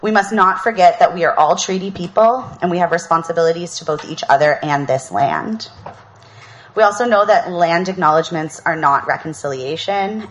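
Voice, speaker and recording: A woman hosting an outdoor panel, reading an opening statement in a measured, earnest way, then giving casual announcements. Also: fairly low pitch, a little hiss or hum, rough recording. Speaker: event host and panel moderator. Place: outdoor public event.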